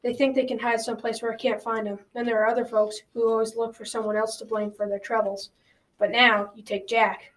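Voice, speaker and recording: Young girl acting folksy old grandfather, measured, flat intonation, school radio drama, slightly distant mic. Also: fairly high pitch, lightly acted. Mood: kindly, folksy. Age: child or teen. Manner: folksy, measured advice-giving.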